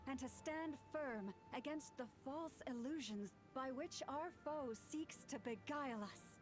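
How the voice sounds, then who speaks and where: A woman voicing a gentle, solemn moon priestess in a video game, earnest and measured in her speeches. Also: high voice, over music. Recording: game audio with noise behind.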